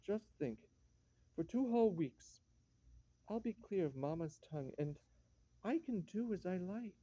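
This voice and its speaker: An actor playing a weak, harried husband in a classic stage drama, exasperated and hesitant. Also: male voice, high-pitched, expressive, a little slow, warm tone, dry recording, dull audio. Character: weak, harried husband, henpecked by his mother.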